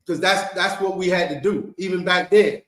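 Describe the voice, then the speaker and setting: Guy preaching to his congregation, kinda high voice, keeps asking okay? you know what i mean, echoey room. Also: steady tone, moderate pace, a bit bright. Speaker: congregation leader. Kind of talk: informal sermon to a congregation. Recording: echoey hall.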